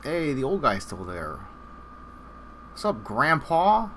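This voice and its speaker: A man's voice: medium pitch, wildly swooping pitch, slow, a bit bright, slight background noise. A man livestreaming a game, reacting and chatting casually in an offhand, joking, irreverent way.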